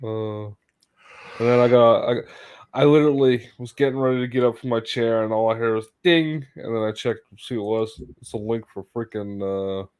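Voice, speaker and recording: A man chatting casually, halting and stumbling over words, often laughing. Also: mid-range voice, a little mellow, clear, resonant tone. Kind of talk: casual chat.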